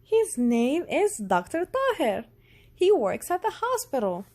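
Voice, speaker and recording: Woman voicing an earnest, high-pitched little girl doctor. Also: huge pitch swings, unhurried, darkish tone, exaggerated voice. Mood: eager, proud. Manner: earnest, inquisitive. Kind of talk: storybook character voice.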